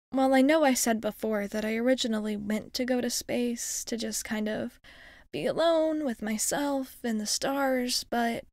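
Woman acting as cute shy alien girl in asmr roleplay, kinda high voice, hesitant and earnest, close dry mic. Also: dry recording. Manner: hesitant, earnest, casual girlish phrasing. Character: friendly, shy young female alien crewmate.

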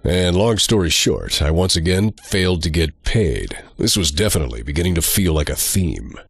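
Sarcastic slacker antihero for a game cutscene, low raspy voice, super deadpan. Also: male voice, normal speed, dry recording, clean studio sound, full character voice. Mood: deadpan sarcasm. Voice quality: husky, gravelly. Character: sardonic, amoral slacker antihero, gravelly and deadpan.

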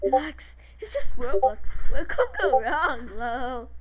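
A woman with a high, very animated voice reads messages aloud in a playful amateur dub. Her delivery is slow and halting, with exclamations, and loud music plays over her. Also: dull audio. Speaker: video creator voicing chat messages aloud.

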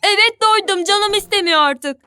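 A woman voicing an excitable, kind-hearted and cautious young sea creature in a children's cartoon, earnest and expressive. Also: very high-pitched, normal speed. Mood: earnest pleading.

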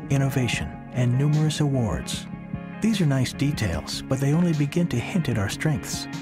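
A man reading a scripted promotional voice-over in a measured, deliberate way, pausing slowly between phrases. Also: mid-range voice. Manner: measured, deliberate delivery with slow pauses. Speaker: corporate video narrator. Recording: voice-over under loud music.

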